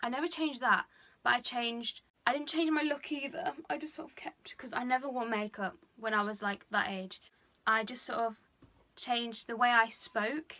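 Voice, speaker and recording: A young woman talking frankly to camera in a personal vlog, chatty and full of fillers, speaking a little lower than she usually does. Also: steady tone, moderate pace, bright, forceful tone. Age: young adult. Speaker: vlogger. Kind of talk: candid personal confession.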